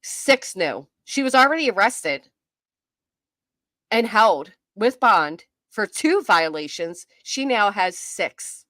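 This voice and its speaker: A woman's voice: mid-range voice, sing-song, takes it slowly, fairly bright tone, no room sound. Blunt sarcastic woman losing her voice. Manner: blunt, sarcastic, laughs and swears. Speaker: livestream commentary host. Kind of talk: casual livestream commentary.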